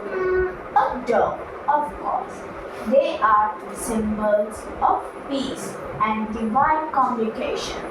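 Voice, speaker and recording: A woman voicing an earnest, devout farmer as a puppet guest in a scripted show, with halting, deliberate phrasing. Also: a little high, expressive. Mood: earnest, thoughtful. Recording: very echoey room, distant mic, noisy.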